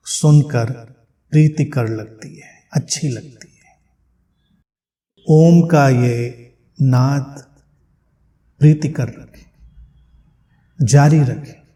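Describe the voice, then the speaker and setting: Guy leading guided meditation, really slow and mellow, gentle, lots of long pauses. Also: medium pitch. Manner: gentle, unhurried, with long pauses between short phrases.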